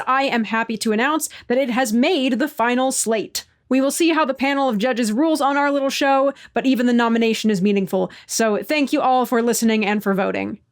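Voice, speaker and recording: Higher-voiced woman hosting a podcast. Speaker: podcast host and announcer. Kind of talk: scripted announcements, upbeat.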